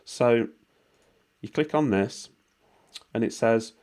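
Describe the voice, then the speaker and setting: A British man with a voice worn hoarse by a cold, talking viewers through an online walkthrough in a rambling, informal way, with swearing and lots of fillers. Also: medium pitch, slow, darkish tone. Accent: British English. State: losing his voice from a cold. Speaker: vlogger explaining money-making offers. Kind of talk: casual screen-recording walkthrough.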